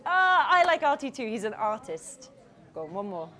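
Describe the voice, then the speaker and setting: Female author reading to kids: playful, expressive, high voice with big swoops. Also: moderate pace. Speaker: children's author reading aloud. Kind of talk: animated storytelling to a live audience of children.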